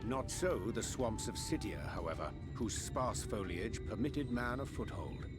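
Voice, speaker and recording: An actor narrating a video game story in a deep, solemn voice over music. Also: male voice, animated, measured pace, close to an everyday voice. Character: grave male storyteller of a game world. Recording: game audio with loud score.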